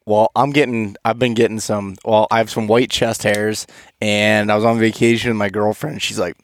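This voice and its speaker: A man's voice: fairly low pitch, level tone, moderate pace. A man in his late twenties hosting a casual podcast chat, easygoing and loose in his speech. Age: late 20s. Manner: easygoing, casual, many restarts.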